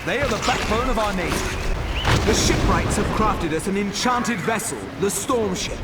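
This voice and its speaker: An actor playing a noble, resolute elven naval commander in a video game, speaking in a formal, declamatory way. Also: male voice, high voice, normal speed, recorded from a distance, very noisy, lightly acted. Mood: commanding, instructive. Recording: loud music over the voice. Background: loud game music.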